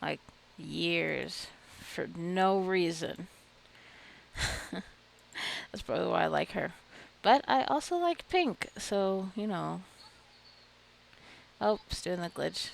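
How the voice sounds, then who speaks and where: A woman's voice: on the low side, animated, slow, creaky, pauses often, a little hiss or hum. A woman giving casual gameplay commentary, chatty and giggly, often talking straight to her game characters. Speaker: gameplay video creator.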